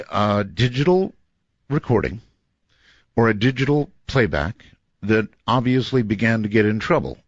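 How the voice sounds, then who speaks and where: A male late-night radio host with a bouncing, animated voice that swings up and down as he teases and restarts his sentences. The studio sound is close and dry, a little dull in the highs, like an old broadcast tape. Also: dry recording. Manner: lively, teasing, stops and restarts often. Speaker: radio talk show host.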